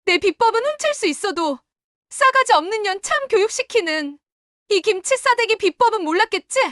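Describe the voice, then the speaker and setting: A woman's voice: very high-pitched, lively intonation, normal speed, fairly bright tone, no echo, studio quality. A woman playing a furious middle-aged market seller and sister-in-law, scolding indignantly in a melodramatic audio drama. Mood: angry, accusing.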